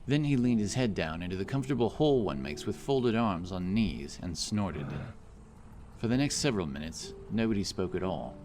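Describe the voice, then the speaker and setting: Deep-voiced male fantasy narrator. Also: expressive, moderate pace, background noise. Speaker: audiobook narrator. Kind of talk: scripted fantasy story narration. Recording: clear music bed behind the voice. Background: background music.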